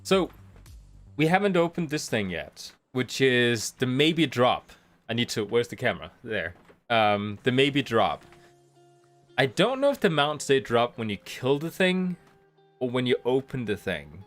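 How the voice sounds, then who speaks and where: A man's voice: mid-range voice, very animated, a little slow, fairly bright tone, faint music behind. A man with a slight cold and a nasal sound, chatting casually and thinking aloud while streaming a game from home. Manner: rambling, thinking aloud with restarts. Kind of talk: casual live-stream commentary. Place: home room, rain outside.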